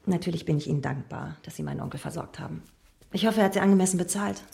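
An actress playing a chic, haughty young niece in a radio drama, speaking in an imperious, condescending, curt way. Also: female voice, lowish voice, racing, vocal fry, dark tone, slightly rough, heavily acted. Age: young adult.

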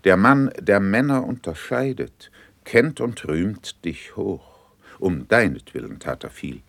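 Courtly diplomat in a verse radio play, low voice, dry sound, formal, deferential, shrewd. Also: male voice, lively intonation, slow pace, vocal fry, no echo, exaggerated voice. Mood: measured, diplomatic. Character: shrewd, courtly male diplomat serving a prince.

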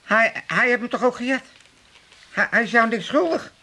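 A man's voice: extremely high pitch, animated, normal speed, bright, forceful tone, exaggerated voice. An actor playing a gullible, scheming, muddled old working-class father with an Amsterdam accent in a comic radio play. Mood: greedy, wheedling. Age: older.

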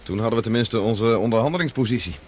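A man's voice: medium pitch, fast talker, natural voice. An actor playing a considerate, earnest man in a radio drama, speaking politely. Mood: serious. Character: considerate, earnest male Mars project colleague. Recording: faint background noise.

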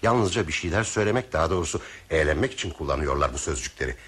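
A very deep, badly husky male voice plays a cynical train passenger in a radio drama. He muses with irony and formal wording, his delivery swinging widely as he philosophizes. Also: lively intonation, racing, a bit bright, heavily acted. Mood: philosophical, bitter. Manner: theatrical, musing, ironic, formally worded. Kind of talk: Turkish radio theatre acting.

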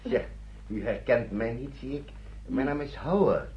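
A man's voice: medium pitch, measured pace, close to an everyday voice. An actor in a radio play as a kindly older man looking after children, fussing and hesitant, often repeating himself. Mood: polite, hesitant. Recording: dull, noisy old radio play recording.

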